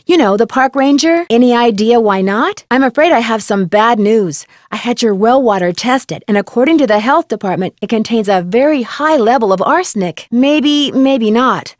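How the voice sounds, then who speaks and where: A woman playing a curious, polite young female detective in a video game, asking questions in a clear, inquisitive manner. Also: a little high, expressive, normal speed, hardly any pauses, no echo, clean studio sound, lightly acted. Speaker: game protagonist. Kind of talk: scripted video game dialogue.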